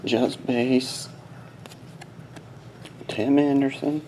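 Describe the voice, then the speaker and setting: A man's voice: medium pitch, steady tone, drawn-out words, mellow, slight background noise. A man hosting a card break with casual, terse commentary, muttering short fragments as he sorts through cards. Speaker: host of a sports card box break. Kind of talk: casual live commentary while opening card packs. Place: small indoor desk setup.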